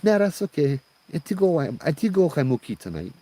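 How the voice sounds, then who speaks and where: A man voicing a calm, familiar old Hawaiian woman, a ghostly hitchhiker in a spoken tale, with a Hawaiian Pidgin accent. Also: a little high, brisk, pitched above the performer's own voice. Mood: calm, breezy.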